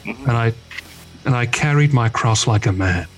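A man with a booming bass-baritone voice, speaking as a guest in recovery in an earnest, reflective conversation. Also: normal speed, soft background music. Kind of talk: candid conversation.

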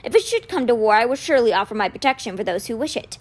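Lady reading as a wise old man mentor in a storybook, kind, patient, measured, bit grave, lively pitch. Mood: courteous, earnest. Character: kindly, wise old male magician mentor, gently grave.

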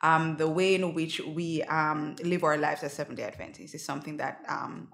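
A young woman from Jamaica, a guest in a casual conversational interview, chatty and animated with many fillers. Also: sits low, moderate pace, creaky, echoey room.

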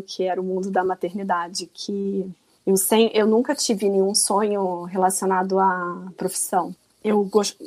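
A woman in her forties from Rio de Janeiro with a Carioca accent, a guest telling her story in a casual, chatty, self-deprecating way. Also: mid-range voice. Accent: Carioca Brazilian Portuguese. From Rio de Janeiro, Brazil. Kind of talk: casual interview chat.

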